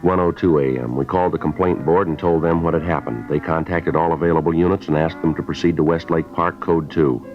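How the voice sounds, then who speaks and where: An actor playing a terse, businesslike police detective in a 1950s radio drama, deadpan and clipped, on a dull vintage recording. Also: male voice, extremely low pitch, fairly quick, few pauses, over music.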